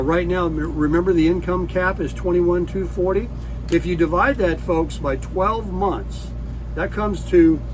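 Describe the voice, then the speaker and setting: A man's voice: sits high, noisy background. A man in his sixties giving a folksy, chatty explainer talk from inside a small camper. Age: 60s. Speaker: vlogger. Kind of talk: casual explainer talk to camera.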